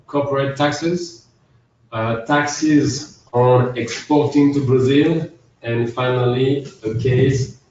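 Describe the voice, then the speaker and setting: A man's voice: mid-range voice, slight background noise. A man with a French accent, an accountant giving a methodical, structured webinar presentation. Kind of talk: business presentation. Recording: echoey, distant, dull webinar audio.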